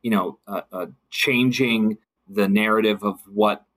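A man speaking as a guest in a conversational podcast discussion, reflective and emphatic, with halting phrasing. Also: fairly low pitch, steady tone, a bit bright. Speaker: guest, city council member.

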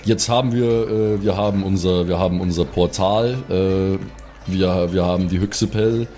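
A man's voice: deep voice, fairly even intonation, normal speed, dark tone. A man chatting casually as a player in an improvised tabletop roleplay game. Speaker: role-playing game player.

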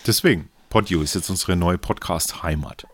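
A man's voice: low voice, normal speed, fairly bright tone, no echo. A man with a Franconian accent co-hosting a beer podcast in casual chat, rambling and correcting himself often, laughing easily. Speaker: podcast co-host.

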